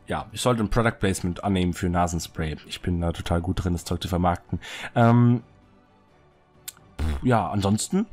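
A young man with a cold, clearing his throat now and then, giving rambling, excited commentary while touring a game build. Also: on the low side. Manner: excited, fidgety, rambling with many fillers. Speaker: Minecraft server builder showing a city. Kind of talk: casual gameplay commentary. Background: soft background music.

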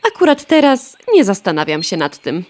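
A woman's voice: medium pitch, big pitch swings, moderate pace, darkish tone, natural voice. A woman playing a vain, cheeky young hen in a children's radio play, flippant and breezily self-absorbed. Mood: self-satisfied. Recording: faint birdsong and noise behind. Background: birdsong.

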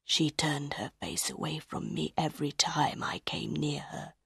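A woman voicing an ugly, tormented, lovesick man in an audiobook, in a slow, hushed, breathy whisper, menacing yet pleading. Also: deep voice, steady tone, no echo, clean studio sound, voice pushed down, exaggerated voice. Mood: broken, tearful wonder. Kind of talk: audiobook character voice.

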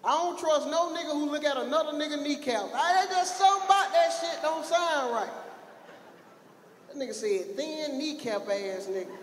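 A young man doing a profane stand-up set, roasting the crowd and shouting punchlines into a handheld mic on a theater stage. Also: extremely high pitch, moderate pace, slight background noise. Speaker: stand-up comedian. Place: large theater stage with an audience. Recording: echoey hall with faint crowd ambience.

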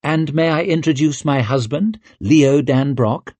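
A man voicing a cool, ruthless young noblewoman with a crisp, commanding manner, raised well above his own voice. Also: on the high side, darkish tone, no room sound, pitched above the performer's own voice. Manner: crisp, commanding, quick to argue.

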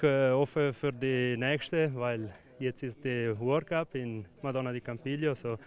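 Slow, halting Italian-accented young man. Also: mid-range voice. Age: young adult. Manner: halting non-native speech with fillers. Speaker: athlete. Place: outdoors at a ski slope finish area. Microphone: handheld interview mic.

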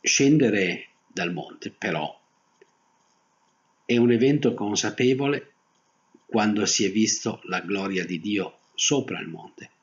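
An older man preaching a sermon as a pastor, phrasing deliberately with pauses. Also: fairly low pitch, lively intonation. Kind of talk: Protestant sermon. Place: small indoor room, seated at a desk. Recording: dull, muffled webcam audio.

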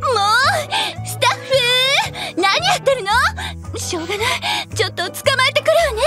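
A woman's voice: very high voice, very animated, moderate pace, music in the background. A woman voicing a sweet, gluttonous female troll cooking hostess, with a polite, cutesy, sing-song manner. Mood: peeved. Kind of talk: anime audio drama acting.

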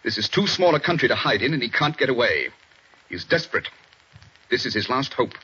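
An actor playing a stern middle-aged police chief inspector, in a 1940s radio drama, husky and clipped. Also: male voice, mid-range voice, animated, fairly quick, pushed and bright, exaggerated voice. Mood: grave. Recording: dull old radio broadcast.

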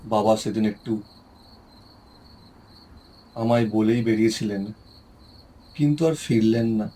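A sorrowful village man, voiced by an actor for a cartoon ghost story. His voice is husky and hushed, and he speaks with quiet respect and old grief. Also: mid-range voice. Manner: soft, heavy-hearted, respectful. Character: grieving village man Pradyut, haunted by his father's loss. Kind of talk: Bengali cartoon voice acting.

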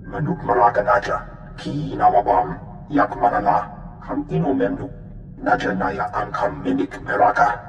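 An actor voicing a gravelly, creaky alien in a video game. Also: male voice, mid-range voice, moderate pace, faint music behind. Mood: guttural. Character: gravelly alien speaking an invented tongue. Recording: echoey hall, distant, dull sound.

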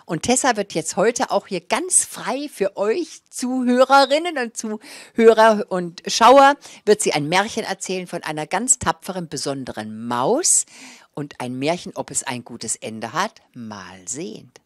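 A woman's voice: medium pitch, expressive, dry recording, clean studio sound. A woman in her sixties telling stories live to a small audience, with a dramatic, savouring delivery, speaking into a handheld microphone. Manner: dramatic, savouring delivery with repetitions and drawn-out words. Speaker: fairy-tale storyteller. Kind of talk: live oral storytelling for an audience. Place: small indoor room with curtained stage and small audience. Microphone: handheld microphone held close.

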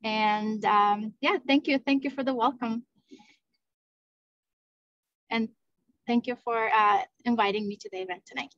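A woman's voice: a little high, steady tone, unhurried, pauses often, fairly bright tone, no echo. Moldovan woman talking from her car. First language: Romanian. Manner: unprepared, apologetic. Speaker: college vice president. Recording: dry, slightly muffled call audio.

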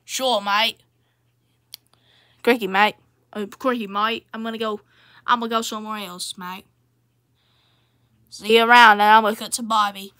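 A woman's voice: medium pitch, fairly even intonation, normal speed, long pauses, pushed and bright, close to an everyday voice. A woman voicing Australia as a cheerful, knife-happy Aussie bloke in an improvised comedy skit, brash and matey with an Australian accent. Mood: boastful, matey. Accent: Australian, put on. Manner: brash, matey, repetitive slangy phrasing.

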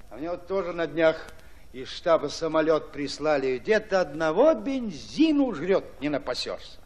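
A fiery army commander in a radio play. His voice is high and swings widely, with slow, weighty pacing. He speaks in plain, folksy peasant phrases with declamatory force. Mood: wry, easygoing. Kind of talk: radio drama acting.

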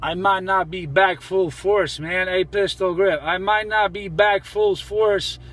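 A man with a very high voice banters on CB radio. He is boastful, chanting and repeating his phrases as he goes. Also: steady tone, unhurried, very noisy. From New Jersey, United States. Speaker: CB radio operator.

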